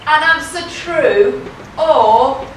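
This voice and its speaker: A woman playing a boastful, dim male outlaw in a stage pantomime, with loud emphatic delivery. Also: high voice, very slow. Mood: comic bluster. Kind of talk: broad pantomime stage acting. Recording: echoey hall, distant mic, crowd and noise.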